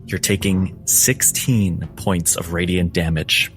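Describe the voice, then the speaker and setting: A man's voice: low-pitched, steady tone, takes it slowly, a bit bright, faint music behind. A man working as game master, narrating a tabletop game in a theatrical, emphatic way.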